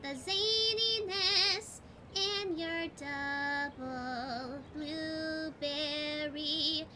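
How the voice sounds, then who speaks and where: A woman voicing a bubbly, excitable young female cartoon pony in a fan fiction audio reading, exuberant and sing-song. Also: high-pitched, drawn-out words, over music. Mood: giddy singing.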